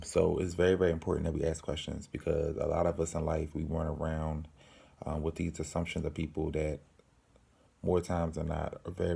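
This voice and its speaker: Low, gravelly man with halting delivery. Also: mellow. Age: 20s. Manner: slow, halting, many ums, earnest. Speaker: channel host. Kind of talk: casual Q and A to camera.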